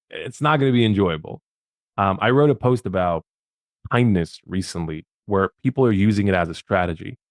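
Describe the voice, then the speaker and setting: A man's voice: on the low side, vocal fry, no echo, studio quality. A man from Bosnia, a podcast guest, gives social media advice in a casual, emphatic way and often repeats his words.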